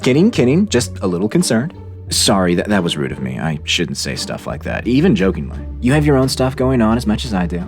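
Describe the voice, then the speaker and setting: A man's voice: fairly low pitch, huge pitch swings, a little fast, a little mellow, soft background music. A man voicing an eager, clingy, apologetic online chatter, over-friendly and wheedling, in a dramatized reading of chat messages. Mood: apologetic, earnest.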